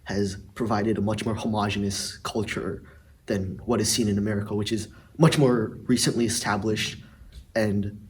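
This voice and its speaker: A teenage male student giving his opinion in a measured, reflective way. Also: on the low side, fairly even intonation, breathy edge, recorded from a distance. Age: teens. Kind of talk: opinion statement in a discussion.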